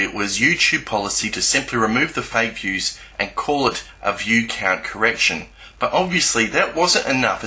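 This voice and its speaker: A man giving a scripted explanatory narration in a measured, didactic way, spelling out each step. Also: medium pitch, sharp tone. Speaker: YouTube channel narrator.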